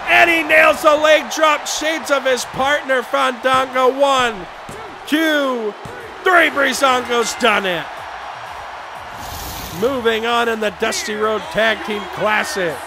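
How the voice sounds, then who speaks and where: A man losing his voice, shouting excited play-by-play for a wrestling video game. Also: very high voice, slow pace, gravelly, music in the background. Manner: shouting excitedly over the action. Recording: game crowd noise behind voice. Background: video game arena crowd and sound effects.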